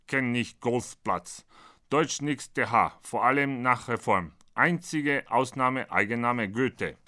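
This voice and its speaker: A man voicing a blunt, naive Brazilian who talks in choppy, deadpan broken German, performed as a comic monologue. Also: mid-range voice, a little slow. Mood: storytelling, indignant. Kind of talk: comic monologue read from his own book.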